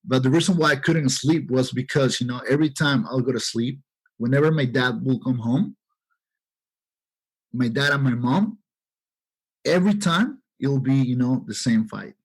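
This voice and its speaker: A man's voice: mid-range voice, moderate pace, breathy edge, rough recording. A man in his thirties from Peru, a podcast guest telling his story in a rambling, earnest way with many fillers. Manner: rambling, heavy "you know" fillers, earnest. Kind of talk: casual interview talk.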